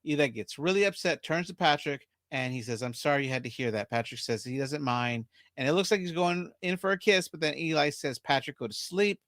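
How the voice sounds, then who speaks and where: A man's voice: fairly high pitch, brisk, few pauses, a bit bright, no echo, clean studio sound. A man hosting a podcast, recapping a movie's plot scene by scene in casual chat and laughing easily. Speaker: podcast host.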